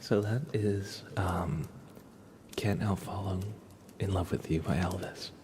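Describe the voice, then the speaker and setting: A man with a congested, stuffy nose chats casually with viewers as an ASMR livestream host, hushed and often whispery. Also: low-pitched, fairly even intonation, slow pace, background noise.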